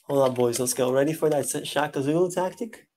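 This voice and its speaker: A man leading his squad in an online battle game, calling out orders over voice chat in a casual, bossy way. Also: on the high side. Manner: bossy, shouting quick orders.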